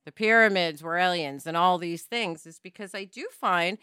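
A woman's voice: fairly low pitch, normal speed, pushed and bright, clean tone, no room sound, studio quality. A woman hosting a podcast, talking casually in an emphatic, candid way. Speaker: podcast host.

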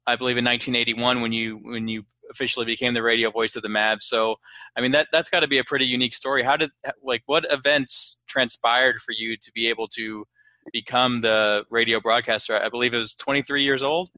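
A man's voice: medium pitch, steady tone, fairly quick, sharp tone, dry recording, poor audio. A man hosting a podcast interview in casual chat, phrasing his introductions and questions loosely and a little hesitantly. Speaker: podcast host.

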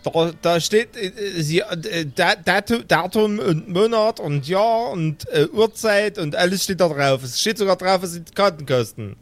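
A man's voice: sits high, unhurried, hardly any pauses, dry recording. A man voicing an eager young woman who stammers and over-explains in an improvised audio play. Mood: eager explaining.

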